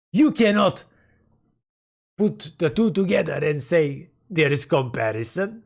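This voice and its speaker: Gravelly, hoarse man rambling to chat. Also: on the high side, darkish tone. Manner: rambling, playful, mock-dramatic. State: hoarse, sore throat. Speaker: streamer. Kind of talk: casual livestream chat with viewers.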